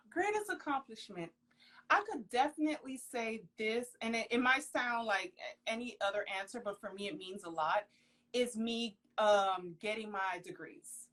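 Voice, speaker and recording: A young woman with a fairly high voice, raised speaking Creole, answers questions in an earnest, emphatic way. She exclaims often and leans hard on key words during a casual live video chat. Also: unhurried, fairly bright tone. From Haitian household, raised in the US. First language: Haitian Creole. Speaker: professional model, interview guest. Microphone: phone on a video call.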